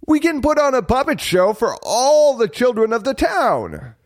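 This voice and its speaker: A man's voice: high-pitched, expressive, brisk, no room sound, studio quality, voice pushed up. A man voicing a delighted, fatherly old puppet maker in a children's storybook. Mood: amazed. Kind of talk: animated children's storybook character reading.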